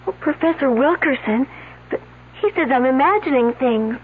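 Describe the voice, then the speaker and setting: A frightened young female student stammers and hesitates in a high, thin voice. Her words come haltingly. It is heard through a narrow, phone-like old radio recording. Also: expressive, breathy edge. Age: young adult. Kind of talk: 1970s radio drama acting.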